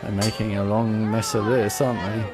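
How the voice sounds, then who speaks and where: Low-voiced man casually commentating on gameplay. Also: flat delivery, normal speed, a loud crowd. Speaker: video game streamer. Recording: game music behind the voice.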